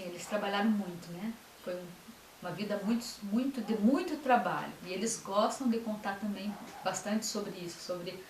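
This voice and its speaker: Woman, lecture, halting phrases, distant mic, faint hiss. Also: medium pitch. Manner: many fillers, speaks in short phrases. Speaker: author giving a talk. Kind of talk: lecture on local history. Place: lecture room. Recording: echoey room, mic from far off, faint hiss.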